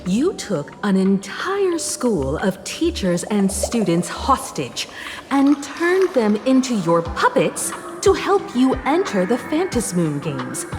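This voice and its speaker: A woman voice acting a stern young woman in a video game, speaking in a deliberate, accusing way. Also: mid-range voice, huge pitch swings, music in the background.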